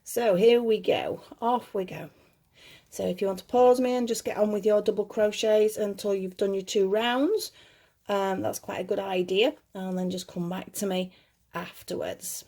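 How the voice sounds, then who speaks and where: A woman giving casual step-by-step craft instruction in a slightly gruff voice, chatty and reassuring, often correcting herself as she goes. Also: fairly even intonation, moderate pace, warm tone. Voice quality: slightly gruff, lower than her usual voice. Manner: chatty, self-correcting, reassuring toward beginners. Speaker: crochet tutorial host.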